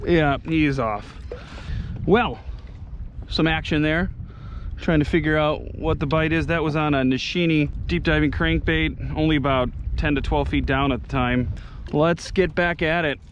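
A man's voice: a little high, a little slow, dull audio, a little hiss or hum. A man in his thirties giving casual running remarks for a fishing vlog, recorded outdoors on an action camera close by. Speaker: fishing vlogger. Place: outdoors on a kayak on open water and on a beach.

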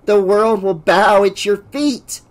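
Man putting on a reedy, high, fawning young assistant. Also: slow. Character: fragile, awkward, fawning young male assistant, twenty-five.

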